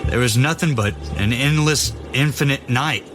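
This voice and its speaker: A man playing a haunted, fanatical young soldier, speaking gravely and deliberately in a dramatic horror audio drama. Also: mid-range voice, natural voice. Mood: haunted awe. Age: young adult. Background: music.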